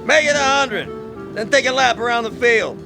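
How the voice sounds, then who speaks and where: A bossy American coach drilling ballplayers in a radio play. His voice is high for a man, barking orders over clear music. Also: moderate pace, rough recording. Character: loud, bossy baseball coach drilling players. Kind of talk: radio drama acting.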